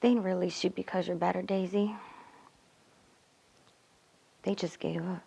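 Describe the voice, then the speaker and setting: A woman's voice: fairly low pitch, fairly even intonation, vocal fry, warm tone, breathy edge, exaggerated voice. A woman acting a cruel, volatile young woman who mocks and needles in a dramatic monologue. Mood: contemptuous, quiet. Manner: mocking, deliberate.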